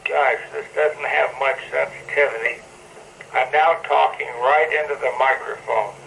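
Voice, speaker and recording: Noisy old reel tape playback of a guy with a creaky voice testing a mic. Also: mid-range voice, steady tone, measured pace. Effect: played back from an old tape recorder. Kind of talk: microphone test.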